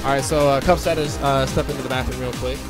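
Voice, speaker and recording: A man hosting a fighting game tournament stream, calling the action in a relaxed, chatty way. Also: medium pitch, quick pace, over music. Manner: laid-back, chatty, frequent fillers. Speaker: tournament stream host and commentator. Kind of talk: casual esports play-by-play.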